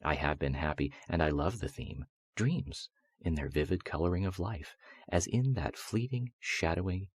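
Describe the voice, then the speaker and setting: A man with a husky voice reciting gothic poetry as a voice-over artist, in a solemn, measured, theatrical way meant to soothe listeners toward sleep. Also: animated. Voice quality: husky, very deep voice.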